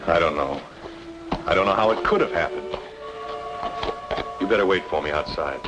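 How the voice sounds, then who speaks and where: Man playing a low-voiced, weary noir architect. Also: normal speed, close to an everyday voice, poor audio. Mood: grim. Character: weary, troubled male architect in a noir drama. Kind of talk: old-time radio noir acting. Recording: old radio drama with music behind.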